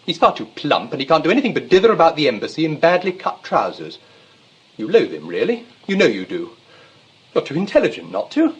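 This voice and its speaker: An actor playing a temperamental, lovesick young upper-class English gentleman in a stage-style comedy, gushing and petulant by turns. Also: fairly high pitch, huge pitch swings, fairly quick, slightly rough, highs cut off, full character voice. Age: young adult.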